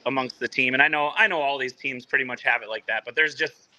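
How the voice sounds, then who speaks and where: A man's voice: medium pitch, fast, bright, forceful tone, low-quality recording. A man from a soccer club talking casually on a video call from the training ground, rambling with many restarts. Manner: rambling, full of restarts and fillers. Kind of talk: casual remote interview.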